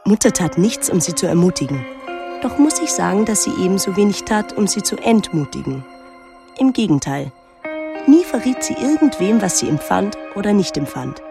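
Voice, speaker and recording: Casting: pensive, detached teenage girl, introspective radio drama narrator, measured delivery, music underneath. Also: mid-range voice, very animated, creaky. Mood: detached, wry. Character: pensive, detached teenage daughter of a reclusive painter. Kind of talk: literary first-person radio drama narration.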